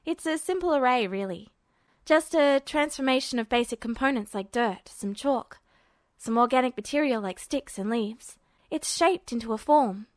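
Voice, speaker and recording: A woman voicing a sharp, sarcastic teenage boy alchemist who explains things flatly in a story reading. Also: fairly high pitch, dry recording, clean studio sound, close to an everyday voice. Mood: distant. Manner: flat, sardonic, quick to explain.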